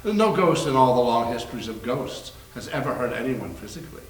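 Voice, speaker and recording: A man's voice: medium pitch, expressive, fairly quick, natural voice. A man playing a genial, learned doctor with a wry, cautious manner in a readers theater performance. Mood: measured, wry. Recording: echoey room, distant mic, faint noise.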